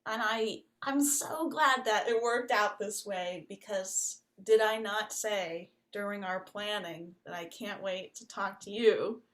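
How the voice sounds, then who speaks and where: A woman's voice: mid-range voice, slow pace, few pauses, fairly bright tone. A woman hosting a casual podcast interview, enthusiastic and gushing, filling her speech with "like" and laughter. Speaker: podcast host.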